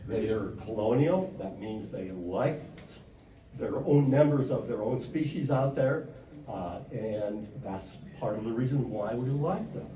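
An older man from Wisconsin giving a convention talk on birds in a loud, plain-spoken way, with many "uh" fillers, into a handheld mic held away from his mouth. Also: mid-range voice, lively intonation, a little slow, distant mic. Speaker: conservation speaker. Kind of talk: convention presentation. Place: convention hall. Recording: echoey hall, mic from afar.

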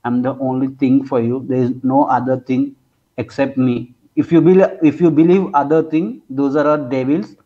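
A twenty-two-year-old man from India with an Indian accent, debating casually, haltingly repeating his phrases for emphasis. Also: medium pitch, fairly even intonation. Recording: dull lo-fi online call audio.

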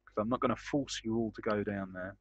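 A man's voice: on the low side, fairly even intonation, fast, lo-fi sound. A man speaking casually and in character as a player in an online tabletop roleplaying game. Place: indoors at home on a group video call.

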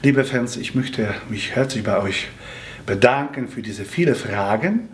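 A man in his forties from Poland, a native Polish speaker with a Polish accent, answering fan questions in a seated video interview in careful, slightly halting phrasing. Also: mid-range voice, lively intonation. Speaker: musical theatre actor answering fan questions. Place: small room with red curtain and patterned wallpaper, indoors.

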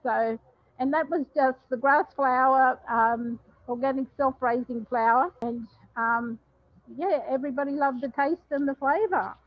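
An older Australian woman, a chef, talking casually about her cooking on a headset mic in a kitchen, with many ums and pauses. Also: high-pitched, steady tone, no echo, muffled recording. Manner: warm, plain-spoken, many ums and pauses. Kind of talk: casual cooking talk to a webinar.

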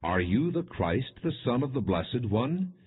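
A man reading aloud the words of a stern, accusing high priest, formal and emphatic, in an audiobook. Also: very animated, measured pace, breathy edge, exaggerated voice. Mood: interrogating. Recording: muffled, narrow phone-like sound.

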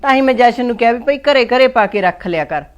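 A woman's voice: a little high, expressive, quick pace, lo-fi sound, natural voice. A woman with a Punjabi accent playing a cautious, gossipy village mother-in-law in a family drama. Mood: cautioning. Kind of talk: colloquial domestic drama acting.

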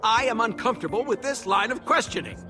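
High-voiced, shifty, fussy innkeeper. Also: male voice, animated, quick pace, heavily acted. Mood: flustered, indignant. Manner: fussy, stammering, defensive. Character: nervous, shifty, evasive male innkeeper with secrets. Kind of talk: animated game voice acting. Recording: loud music behind the dialogue.

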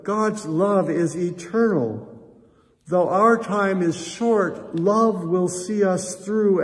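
An older American man, a pastor, speaking solemnly and earnestly in measured phrases as he leads prayer and appeals to a congregation. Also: high voice. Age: 60s or older. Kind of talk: church prayer and offering appeal. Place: church sanctuary, indoors. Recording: reverberant church hall echo.

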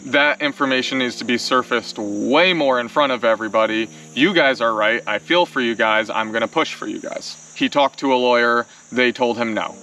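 A young man, a vlog co-host, explaining outdoors in a wooded clearing in a casual, exasperated way, full of "like"s. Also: medium pitch, pushed and bright, a little hiss or hum. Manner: exasperated, fast explaining with many "like"s. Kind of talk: casual chat to camera. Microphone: camera-mounted mic, later handheld selfie camera. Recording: outdoor camera audio from a little distance.

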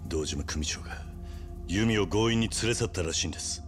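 Loyal, determined gangster lieutenant for game drama; low-voiced, grave and terse, with dynamic swings. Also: male voice, expressive, a little fast, soft background music. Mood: tense, urgent. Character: stoic, loyal young yakuza lieutenant, gruff and determined. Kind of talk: dramatic video game cutscene acting.

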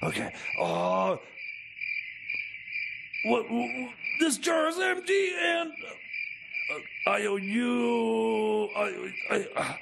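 An actor playing an excitable, bumbling scientist in radio comedy, stammering and frantically repeating himself. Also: male voice, extremely high pitch, very slow, gravelly, long pauses, noisy background, exaggerated voice. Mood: panicked. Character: excitable, bumbling self-styled mad scientist time traveller.

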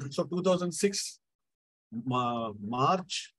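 A middle-aged man with a South Indian accent tells a devotional anecdote casually, halting and repeating phrases. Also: mid-range voice, fairly bright tone, breathy edge, dry recording. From Andhra Pradesh, India. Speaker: Carnatic musician, guest speaker.

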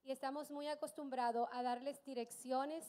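A woman's voice: high voice, normal speed. A woman on a church stage interpreting a sermon line by line, with a few self-corrections. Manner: steady consecutive interpreting, occasional self-corrections. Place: church stage, large hall.

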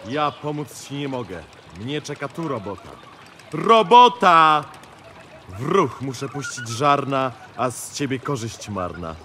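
An actor playing a harsh, stingy elder brother, a busy miller, scolding brusquely in a fairy-tale audio play. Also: male voice, mid-range voice, big pitch swings, unhurried, bright, forceful tone, slight background noise. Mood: gruff dismissal.

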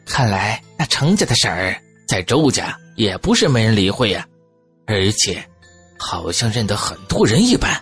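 Woman as a young male servant, historical audiobook, very low pitch, highly animated delivery. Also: moderate pace, husky, faint music behind, exaggerated voice. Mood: muttering. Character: young male retainers and hot-headed master of ancient China. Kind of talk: audiobook character acting.

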